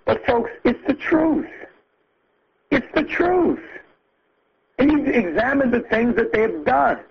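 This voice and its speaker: Gravelly, husky man speaking earnestly on a call. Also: extremely high pitch, sing-song. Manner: earnest, halting, emphatic with repetitions. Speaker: conference call host, political speaker. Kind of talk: impassioned political speech on a conference call. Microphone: phone conference line. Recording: muffled lo-fi conference call audio.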